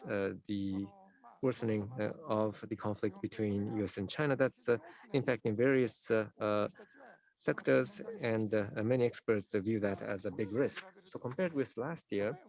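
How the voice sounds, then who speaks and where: A man presenting survey results at a conference in a halting, formal way, full of hesitations. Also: on the low side, vocal fry, a little mellow. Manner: hesitant, heavy use of filler sounds.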